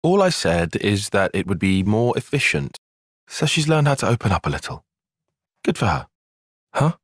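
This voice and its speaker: An actor playing an earnest, bookish young soldier-tactician in a video game, speaking in measured, formal, analytical phrases. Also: male voice, on the low side, big pitch swings, husky, dry recording, studio quality. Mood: measured, reserved. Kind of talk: video game cutscene voice acting.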